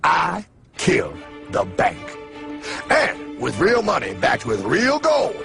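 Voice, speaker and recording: A man's voice: on the high side, wildly swooping pitch, takes it slowly, gravelly, bright, forceful tone, soft background music, heavily acted. A man playing a brash, folksy cartoon guide who explains history in an emphatic, sardonic way. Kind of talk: animated explainer narration.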